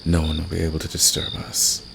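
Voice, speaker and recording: Man voicing a very deep, gravelly, flirtatious villain. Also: monotone, fairly quick, heavily acted. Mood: smug, seductive. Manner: teasing, smug, intimate, soft chuckles. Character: flirtatious, confident fantasy villain man, secretly protective. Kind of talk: ASMR romance roleplay audio drama. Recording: clear background noise, night cricket ambience.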